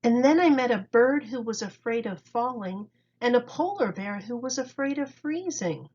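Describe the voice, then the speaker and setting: A woman's voice: fairly high pitch, expressive, moderate pace, darkish tone, voice pushed up. A woman voicing a timid, polite young boy turtle in a children's storybook, speaking earnestly. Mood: earnest, surprised. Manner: polite, pleading. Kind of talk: children's storybook read-aloud. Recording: dull lo-fi recording.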